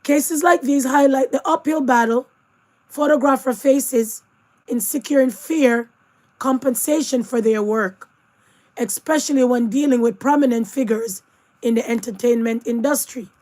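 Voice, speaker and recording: Woman host, hoarse from losing her voice, higher voice, chatty commentary. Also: measured pace, darkish tone. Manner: chatty asides, addresses viewers directly. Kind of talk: casual news commentary.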